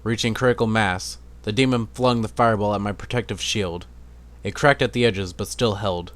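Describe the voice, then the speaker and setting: A man's voice: lowish voice, fairly even intonation, moderate pace. A man narrating fantasy fan fiction as an amateur dramatic reading.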